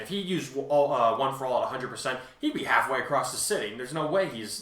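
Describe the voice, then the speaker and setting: A man casually explaining as a co-host, halting but emphatic as he talks through his points. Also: medium pitch, bright, forceful tone. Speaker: co-host and fan fiction author responding to reviews. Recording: roomy, echoing sound.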